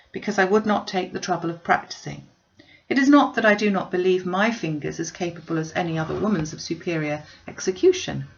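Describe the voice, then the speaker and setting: A spirited young gentlewoman from a storybook, voiced by a woman talks with arch wit. She is playful and mock-serious, teasing as she goes, with a creaky edge to the voice and a slightly muffled recording. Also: fairly low pitch. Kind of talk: storybook reading.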